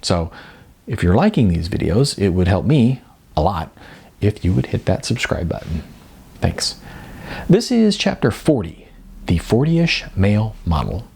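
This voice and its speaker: A man narrating a story in an expressive storytelling style. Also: on the low side, unhurried, creaky, long pauses, breathy edge.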